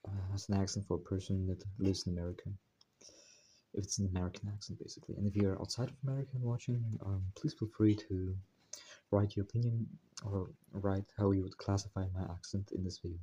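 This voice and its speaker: Male channel host, deep dark tone, hesitant delivery with many restarts, working at a put-on American accent. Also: fairly even intonation, a little hiss or hum, rough recording. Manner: hesitant, full of fillers and self-corrections; deliberately putting on an American accent. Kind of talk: casual spoken introduction to a text study.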